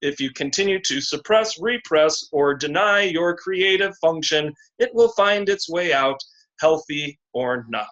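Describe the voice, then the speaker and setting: A man giving a slow, deliberate, encouraging astrology reading on a video call. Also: a little high, animated, a bit bright. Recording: dull lo-fi video call audio.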